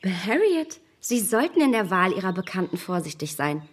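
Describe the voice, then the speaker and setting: An actress playing a proud, snobbish young gentlewoman in a radio play, speaking in a poised, condescending and emphatic way. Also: medium pitch, very animated, moderate pace. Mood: haughty, admonishing.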